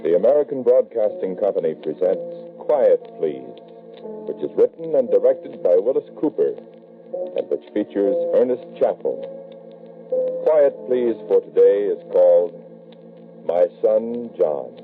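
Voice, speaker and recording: Male 1940s radio announcer, formal and swooping, on a thin band-limited old broadcast over clear music. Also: fairly low pitch, very animated, unhurried, phone-quality sound. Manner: formal, portentous.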